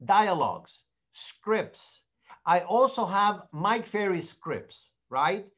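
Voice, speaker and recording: A man with a Spanish accent, a native Spanish speaker, giving a blunt, animated real estate sales training and acting out sample dialogues. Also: high voice, dull audio.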